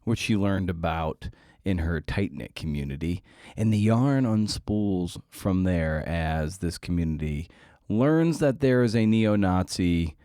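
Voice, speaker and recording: Mellow, low-voiced man hosting a podcast. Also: dry recording, clean studio sound. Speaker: podcast host. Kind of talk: casual podcast monologue and interviewing.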